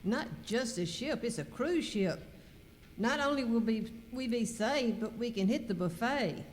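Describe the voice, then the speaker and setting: A woman plays an impatient cowgirl outlaw, dramatic and full of complaint, in a comic radio skit. Her voice is fairly high, with faint noise behind the live amateur recording. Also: lively intonation, unhurried, long reverb tail. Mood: eager. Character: exasperated female outlaw Mary, griping and impatient. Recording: noisy live room recording.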